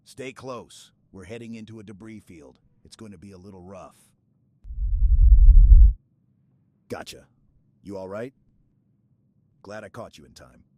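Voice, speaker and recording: A man playing a stoic, earnest super-soldier in an intimate sci-fi ASMR roleplay, speaking in a halting, hushed way with dramatic pauses. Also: low voice, animated, a little hiss or hum. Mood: alert, protective.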